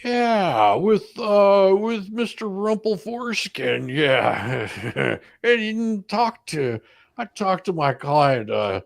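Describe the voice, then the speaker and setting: A man playing a folksy, blustering, evasive attorney under questioning in a comic deposition reading, stammering and hedging in a raspy, gravelly voice. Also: high-pitched, big pitch swings, slow pace, heavily acted.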